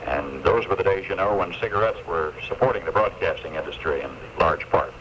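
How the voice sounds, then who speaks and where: An older man giving an earnest, deliberate, folksy commentary as a radio newsman of the 1970s. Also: sits low, fairly bright tone. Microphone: radio played through headphones into cassette recorder. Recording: noisy lo-fi 1976 AM radio recording.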